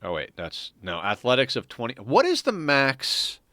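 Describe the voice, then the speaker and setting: A man's voice: medium pitch, wildly swooping pitch, moderate pace, pushed and bright, no room sound, studio quality. A man with a stuffy, congested nose giving casual gameplay commentary as a streamer, muttering to himself and mocking himself with frequent chuckles. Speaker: game streamer.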